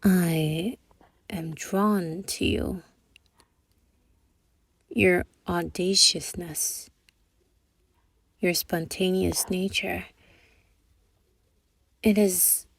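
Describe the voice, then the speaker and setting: Brief: cool, exacting female thief boss in a soft ASMR roleplay; formal, deliberate, lower register, whispering at times, voiced by a young woman. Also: fairly even intonation, creaky, dry recording, heavily acted. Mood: tender confession. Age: young adult. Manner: measured, precise, formal scolding. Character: stern, calculating female thief boss, secretly tender.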